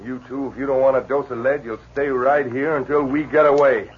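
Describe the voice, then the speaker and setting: A man's voice: medium pitch, steady tone, brisk, slight background noise, lightly acted. A man doing a ruthless masked bandit. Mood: threatening. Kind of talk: 1950s radio drama acting. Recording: lo-fi old radio recording.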